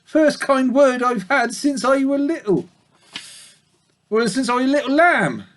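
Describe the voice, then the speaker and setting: Old ewe, hoarse, grumbling, rustic speech, high squawky voice. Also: male voice, lively intonation, a little slow. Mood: mournful. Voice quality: hoarse, complaining, rustic grammar.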